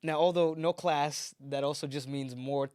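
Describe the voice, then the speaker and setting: A man's voice: fairly high pitch, steady tone, moderate pace, darkish tone, smooth, no room sound, studio quality. A young man hosting a podcast in a casual, upbeat way, laughing often. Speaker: podcast host.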